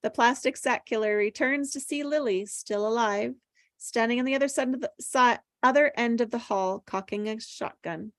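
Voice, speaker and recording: A woman's voice: fairly high pitch, normal speed, no echo. Woman reading screenplay directions, dry sound. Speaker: stage-direction reader at a table read. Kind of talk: scripted narration of screen directions.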